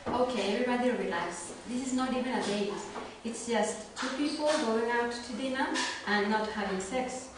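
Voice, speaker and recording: A woman's voice: fairly high pitch, normal speed, few pauses, natural voice. A woman with a Spanish accent playing a caring, wry young woman in an amateur script reading. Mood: matter-of-fact. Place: wooden-floored room indoors. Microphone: far camera. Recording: echoey room, distant mic, faint noise.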